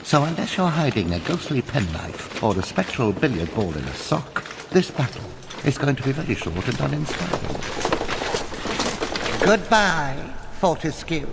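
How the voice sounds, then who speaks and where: Pompous swooping villain sorcerer, big echo. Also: male voice, mid-range voice, very animated, normal speed, creaky, heavy background noise. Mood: smug, mocking. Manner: pompous, sneering, theatrical delivery. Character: theatrical gloating villain sorcerer, mocking and verbose. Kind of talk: video game cutscene acting.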